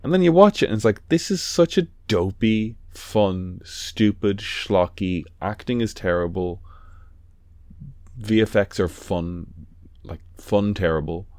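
A man's voice: on the low side, lively intonation, measured pace, darkish tone, no echo. A man co-hosting a podcast in an easygoing, teasing way, with lots of fillers as he talks. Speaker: podcast co-host. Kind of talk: casual chat.

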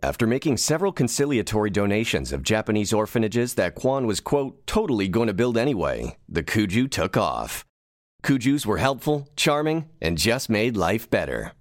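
A man's voice: lowish voice, very animated, normal speed. A man playing a wry, theatrical narrator of a scripted sci-fi comedy audio drama. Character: wry, dramatic storyteller explaining a sci-fi world.

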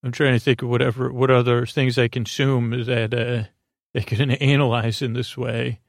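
A man hosting a sleep podcast, giving a meandering, halting bedtime monologue with many digressions in a gravelly, creaky voice. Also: mid-range voice, level tone, no room sound, clean studio sound.

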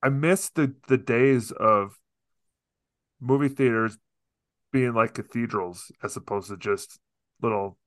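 A man's voice: medium pitch, slow, long pauses, no echo, studio quality. A man hosting a podcast, asking friendly, rambling questions in casual interview talk. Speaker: podcast host.

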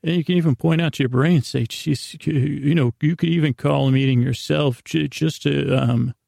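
A man hosting a bedtime podcast in a rambling, improvised monologue, halting and meandering with stammered restarts, self-deprecating and gently reassuring, in a gravelly voice. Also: fairly high pitch, steady tone, no room sound, studio quality. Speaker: podcast host.

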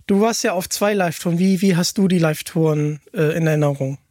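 A man hosting a podcast, chatting casually and enthusiastically, often halting and repeating words as he asks questions. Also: a little high, darkish tone, no room sound, clean studio sound. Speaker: podcast host. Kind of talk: casual chat, interviewing.

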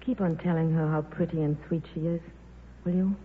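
An actress playing a worldly, soft-hearted film star in a 1950s radio drama, with a wry, breezy, clipped manner. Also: female voice, low voice, fairly even intonation, a little fast, natural voice. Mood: wistful. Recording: tinny, noisy old radio broadcast.

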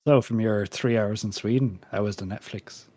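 A man's voice: lowish voice, steady tone, normal speed, dark tone. A man hosting a casual panel podcast with wry, jokey banter. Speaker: podcast host.

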